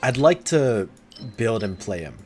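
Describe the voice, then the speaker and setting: A man's voice: on the low side, very animated, measured pace. A man livestreaming a game, chatting casually in a laid-back, conversational way as he thinks aloud. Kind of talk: casual chat over gameplay. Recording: voice over loud game music. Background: loud game music.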